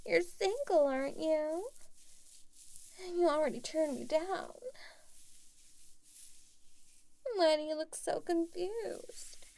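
A woman's voice: high-pitched, unhurried, creaky, no echo, rough recording. A woman playing a tipsy, doting older neighbor. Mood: teasing. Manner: soft flirty coaxing, slipping into whispers. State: a little drunk. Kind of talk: audio roleplay.

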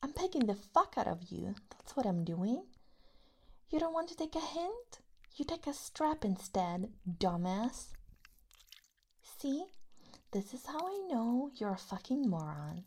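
A woman's voice: very animated, measured pace, slightly rough, full character voice. A woman playing a furious, foul-mouthed young woman. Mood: domineering. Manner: profane, snapping outbursts that drop to low whispers. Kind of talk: audio roleplay acting.

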